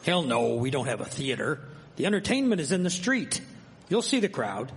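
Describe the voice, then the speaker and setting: A man's voice: medium pitch, sing-song, moderate pace, creaky. A man acting a boisterous, blunt, folksy townsman who hawks bets and drink in a live radio drama. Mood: brash, helpful. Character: rowdy brash townsman hawking street-fight gambling and drink. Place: performing arts centre stage. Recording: long hall reverb of live stage.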